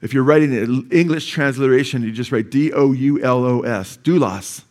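A man's voice: mid-range voice, normal speed, darkish tone. A male pastor with a Californian accent preaching in a church sanctuary. He explains word meanings patiently, then rises to emphatic peaks. He keeps asking the congregation for an amen. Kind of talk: sermon.

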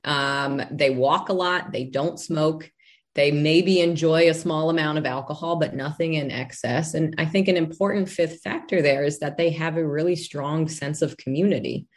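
A woman guest in a casual conversation, explaining in a clear, measured way. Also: deep voice.

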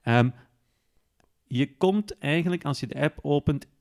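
A man's voice: a little high, unhurried, darkish tone. Flemish man giving a casual walkthrough. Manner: informal, explanatory, often tagging sentences with "hè". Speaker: podcast presenter demonstrating an app. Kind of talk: casual step-by-step software walkthrough.

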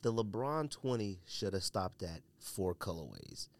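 A man's voice: fairly low pitch, lively intonation, measured pace. A man hosting a casual podcast with blunt, emphatic, opinionated commentary, repeating himself for emphasis while a bit under the weather. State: under the weather, coughing and sneezing. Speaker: podcast host.

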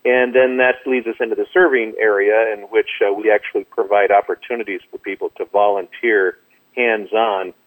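A man speaking as a ministry guest in an interview over a telephone, explaining things in a measured way. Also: lowish voice, steady tone. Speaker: ministry director, radio guest. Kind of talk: interview answers. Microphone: telephone call. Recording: tinny narrow-band phone line.

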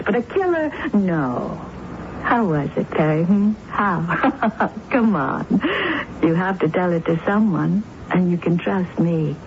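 A woman playing a shrewd, manipulative, coaxing actress in a radio drama. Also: medium pitch. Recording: muffled, noisy old radio recording.

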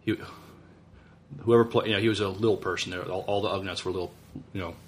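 A man with a low voice, recorded with slight noise behind him. He chats casually as a co-host, offhand and a little hesitant. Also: fairly even intonation, fast talker. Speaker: podcast co-host. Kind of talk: casual chat.

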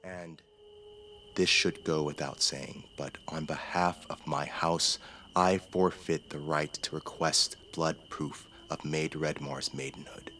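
A man's voice: a bass voice, steady tone, takes it slowly, sharp tone, a little hiss or hum, heavily acted. A man playing a formal, contrite nobleman in a fantasy audio drama. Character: courtly young nobleman humbly apologizing, formal fantasy lord.

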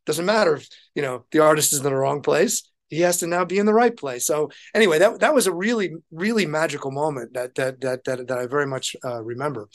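Higher-voiced man telling stories, halting delivery. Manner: halting, repeats words as he thinks aloud. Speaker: interview guest, touring musician. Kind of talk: conversational anecdote-telling in an interview.

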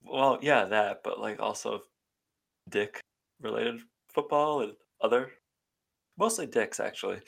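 A man's voice: fairly low pitch, measured pace, creaky, pauses often, a bit bright, slightly rough. A man chatting casually as a podcast co-host. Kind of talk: casual chat.

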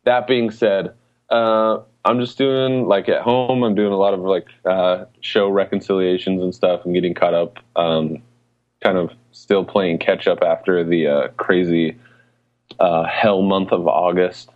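A man's voice: deep voice, steady tone, a little slow, muffled recording. A man co-hosting a podcast in casual, slangy, emphatic chat about everyday life and games. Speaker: podcast co-host.

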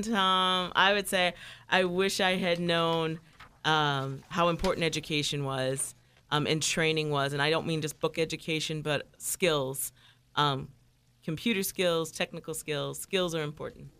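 A woman's voice: sits low, fairly even intonation, measured pace, sharp tone, clean tone. A middle-aged woman, a career coach, giving earnest, encouraging advice as a guest in a radio interview.

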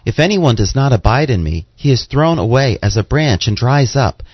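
A man's voice: medium pitch, animated, moderate pace, breathy edge, dry recording. A man reading scripture aloud in a formal style. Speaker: scripture reader. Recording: dull, lo-fi audio.

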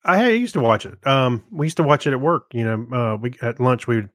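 A man's voice: medium pitch, expressive, a little fast, no echo, studio quality. A man co-hosting a podcast, chatting and reading items in an easygoing, hesitant way over music. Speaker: podcast co-host. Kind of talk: casual chat and reading out items.

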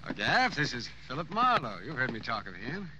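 A man's voice: medium pitch, huge pitch swings, fairly quick, sharp tone, exaggerated voice, low-quality recording. An actor playing a nervously friendly, folksy man with a hesitant, gushing manner in old radio drama style. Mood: nervously cheerful. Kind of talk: 1940s radio drama acting. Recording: old radio recording with faint noise.

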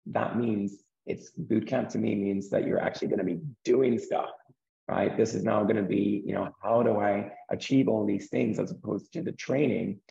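A man with a croaky, gravelly voice speaks earnestly, low and mellow in tone. He often restarts a word or two before he finds his point. The sound is dull and lo-fi. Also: steady tone, normal speed. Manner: earnest, repeats words as he restarts. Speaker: guest, property investor. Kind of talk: interview conversation.

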